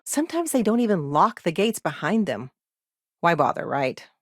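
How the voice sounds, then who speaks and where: An actress voicing a middle-aged, principled and determined lawyer in a video game, with a dry, wry, matter-of-fact way of talking. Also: female voice, fairly low pitch, very animated, moderate pace, dry recording. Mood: wry, resigned. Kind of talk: video game character dialogue.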